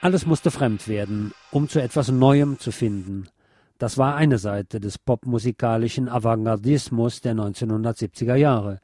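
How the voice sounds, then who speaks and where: A man reading scripted documentary narration in a measured, essayistic way. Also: mid-range voice, warm tone, no room sound.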